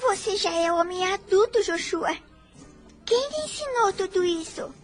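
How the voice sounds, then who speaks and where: Very high-voiced, fragile orphan girl. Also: animated, measured pace. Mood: adoring. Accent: Brazilian. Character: tender, fragile, grateful poor young orphan girl. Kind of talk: radio drama acting. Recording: music under the voice.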